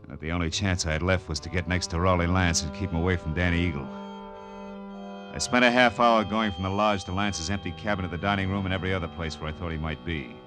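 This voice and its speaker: A low-voiced, tough private eye played by a male actor talks in terse, dry, world-weary lines. It is hardboiled 1940s radio drama on a vintage recording, with music clearly behind the voice. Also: steady tone, fast, natural voice. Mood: wry narration. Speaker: lead actor and narrator.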